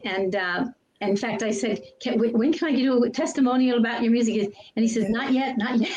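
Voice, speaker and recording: A woman guest on a talk show who speaks softly and gushes as she shares her experience. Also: on the high side, slight background noise, low-quality recording. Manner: soft-spoken and gushing, with light laughs. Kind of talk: casual testimonial chat.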